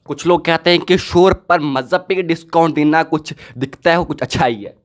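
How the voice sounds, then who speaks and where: A man putting questions as an interviewer, slightly halting in his phrasing. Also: on the high side. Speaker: interviewer, news anchor.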